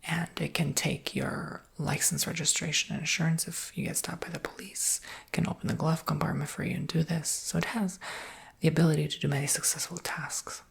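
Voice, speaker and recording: An American man performs an improvised ASMR sales roleplay in a whisper. His voice is extremely breathy and husky, with heavy crackle in it. He explains things softly, in an unhurried and reassuring way. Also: fairly high pitch, level tone.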